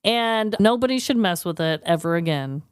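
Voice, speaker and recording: A woman co-hosting a podcast in casual comedic chat, with a playful, teasing and animated way of talking. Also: fairly low pitch, normal speed, clear, resonant tone, no echo, clean studio sound. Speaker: podcast co-host.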